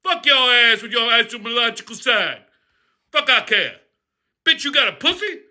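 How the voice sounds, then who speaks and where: A man playing a profane, loudmouthed character who rants and shouts in a comedy bit. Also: very high-pitched, animated, moderate pace, full character voice. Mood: ranting. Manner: profane ranting, shouting, mocking. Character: foul-mouthed loudmouth man named Crazy Rufus.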